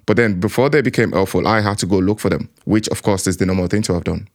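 Man, lowish voice, reflective, acts out his own thoughts, interview. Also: fast. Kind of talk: personal account in conversation.

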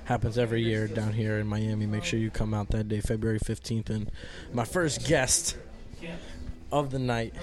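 A man's voice: fairly low pitch, little pitch movement, moderate pace. A man hosting a casual live interview in a pub, laid-back and swearing freely. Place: crowded live music pub. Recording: noisy crowded pub. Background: crowd chatter.

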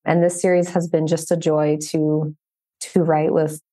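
A very mellow, dark-toned woman's voice. She sits a little low in pitch and talks casually as an interview guest, with a slightly hesitant, giggly manner. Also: flat delivery, a little slow. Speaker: podcast guest, children's book co-author.

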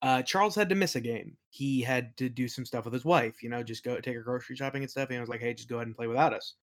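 A man's voice: mid-range voice, quick pace. A man in a casual interview, talking informally as a game master and telling anecdotes. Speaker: podcast guest, game master and worldbuilder.

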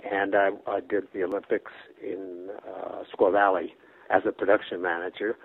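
A man's voice: low voice, level tone, normal speed, gravelly. Rambling old man on a tinny line. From Brooklyn, New York. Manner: rambling anecdotes that trail off softly, with restarts and chuckles. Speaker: interview guest. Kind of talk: casual storytelling interview. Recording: phone-like narrow band.

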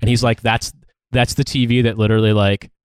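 A man co-hosting a podcast, telling profane, animated stories in casual chat. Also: mid-range voice, a little fast, smooth, dry recording.

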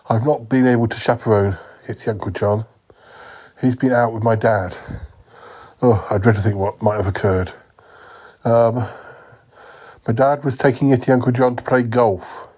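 A man's voice: lowish voice, a little mellow. A man with a summer cold, hosting a comedy podcast with fretful asides. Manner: fretful, sighing "oh dear" asides. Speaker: podcast host. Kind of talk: comedy podcast monologue and sketch.

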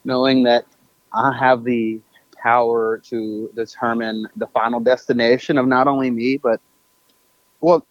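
A man's voice: mid-range voice, little pitch movement, unhurried, no echo. A man being interviewed over the phone, talking thoughtfully with lots of fillers and self-corrections. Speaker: podcast guest, business owner. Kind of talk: casual interview talk. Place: on a phone call. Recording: dull phone line.

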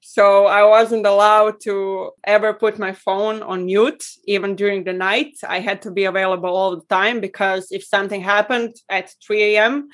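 A woman from Croatia, a guest on a podcast, explains her work in a friendly, steady way. Her voice sits at a middle pitch, and she laughs lightly now and then. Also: normal speed, few pauses. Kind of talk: interview answers.

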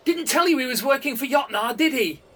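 A man voicing a brutal male enforcer who shouts aggressively, recorded a bit distant from the mic. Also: extremely high pitch, lively intonation, a little fast, pitched above the performer's own voice, heavily acted. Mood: furious.

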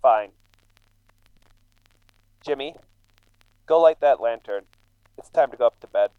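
A man's voice: mid-range voice, measured pace, clean tone, dry recording, dull audio, natural voice. An actor playing a scrawny, resentful immigrant man with an aggrieved, brooding manner in a radio drama. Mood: sullen. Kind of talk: true crime radio drama acting.

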